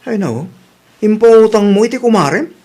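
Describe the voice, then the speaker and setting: A man's voice: sits high, lively intonation, moderate pace, a little mellow. A man playing a grumbling, money-minded village husband in a radio comedy drama, questioning and nagging.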